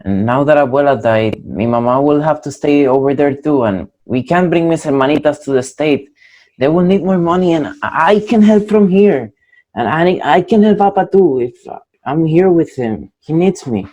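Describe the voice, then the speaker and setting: A man's voice: on the high side, normal speed, a little mellow, natural voice. An actor playing an earnest young Mexican man who mixes in Spanish phrases, in a play reading.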